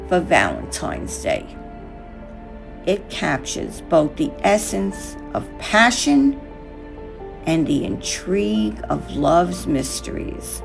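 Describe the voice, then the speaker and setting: A woman reads a reflective craft narration in short, measured phrases. Her delivery is unhurried, with gravelly fry at the edges and expressive rises and falls in pitch. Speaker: craft tutorial host. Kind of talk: scripted narration over a craft video.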